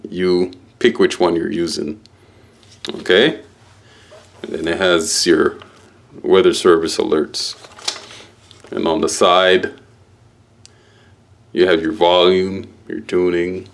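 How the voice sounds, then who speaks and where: A man giving a casual, halting unboxing walkthrough as a product reviewer, explaining things piece by piece. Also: low voice, level tone, creaky.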